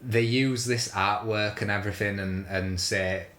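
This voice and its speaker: Blunt low-voiced man in an echoing room. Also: fairly even intonation, unhurried, fairly bright tone. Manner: blunt, sweary. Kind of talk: casual opinionated chat. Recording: roomy, echoing sound.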